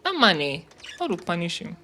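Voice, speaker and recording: An actress with a Ghanaian accent playing an indignant young medical student who grumbles emphatically in an audio drama. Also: female voice, on the low side, big pitch swings, fairly quick, bright, forceful tone. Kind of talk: scripted audio drama acting.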